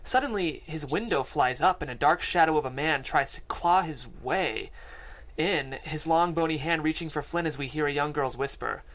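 A table read narrator delivers scripted stage directions from a screenplay. The voice is of medium pitch and is recorded on a studio microphone. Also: moderate pace, a bit bright, poor audio. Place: podcast studio. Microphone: studio microphone and headphones.

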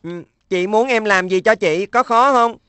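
Schoolboy, middle sibling, played by a woman. Mid-pitched, earnest and a touch plaintive, children's cartoon dub. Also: normal speed, a bit bright, clear, resonant tone, no echo, lightly acted. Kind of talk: Vietnamese-dubbed children's cartoon.

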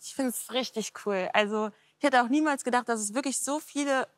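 A woman's voice: on the high side, a little fast. A woman of twenty, a model contestant, speaking earnestly and openly about her life in a casting interview. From Germany. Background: music.

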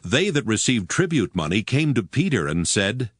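A man's voice: on the low side, big pitch swings, normal speed, slightly rough, no echo, clean studio sound. A man narrating a scripted King James Bible reading. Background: music.

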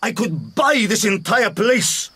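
An actor playing a proud, tight-fisted businessman in a radio drama, husky and pompous, with an indignant manner. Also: high voice, big pitch swings, slow pace, bright, forceful tone, no room sound, heavily acted.

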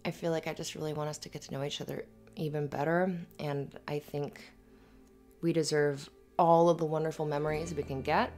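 A woman playing an affectionate, playful girlfriend in an intimate ASMR roleplay, soft-spoken and hesitant. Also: deep voice.

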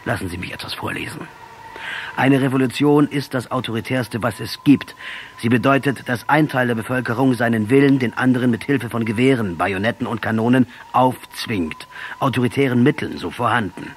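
An actor playing a defiant, ironic revolutionary commander in a radio drama, speaking emphatically and rhetorically. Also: male voice, mid-range voice, slight background noise, close to an everyday voice.

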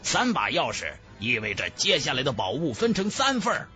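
A man's voice: medium pitch, huge pitch swings, normal speed, bright, forceful tone, soft background music. A man voicing a blunt, impatient fantasy cultivator with a curt, grumbling manner in an audiobook.

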